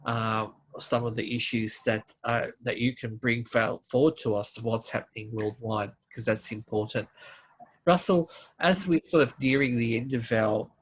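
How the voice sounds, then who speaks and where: Guy hosting an interview, kinda halting, lots of uhs, middle pitch. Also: husky, rough recording. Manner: halting, searches for words with many "uh" fillers. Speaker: interview host.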